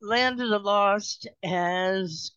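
Male, high-pitched, casual discussion participant with halting delivery; dull, dry recording. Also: sharp tone, smooth, no room sound. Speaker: participant in an old-time radio discussion group.

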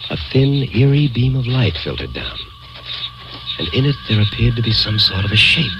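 An actor playing a haunted, guilt-ridden man who narrates a radio suspense tale in a brooding, deliberate, formal way. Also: lowish voice, noisy background. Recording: old radio recording with music.

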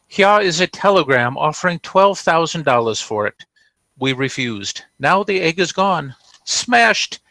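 Stuffy male trophy dealer, florid formal talk, forceful tone, raised pitch, old-time radio comedy. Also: no echo, exaggerated voice. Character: pompous, flustered male trophy dealer defending his goods. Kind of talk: 1940s radio comedy acting.

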